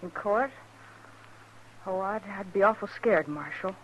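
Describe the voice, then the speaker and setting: A woman's voice: mid-range voice, measured pace, a little hiss or hum, natural voice, rough recording. A woman playing a frightened, hesitant young frontier saloon woman, plain-spoken and subdued, in 1950s radio western style. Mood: timid.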